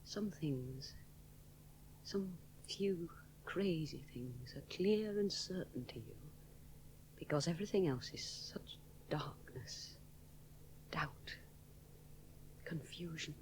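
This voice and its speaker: A woman's voice: low-pitched, lively intonation, slow, pauses often, darkish tone, a little hiss or hum, low-quality recording. An actress playing a young woman aviator alone over the sea, musing inwardly, often dropping to a whisper. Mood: brooding. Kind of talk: 1970s radio drama inner monologue.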